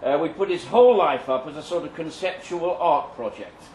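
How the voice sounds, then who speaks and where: A man's voice: a little high, normal speed, darkish tone, close to an everyday voice. Dry rambling headmaster a man acting, echoey. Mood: dry, musing. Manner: hesitant, forgetful, musing. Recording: distant echoey live venue, background noise.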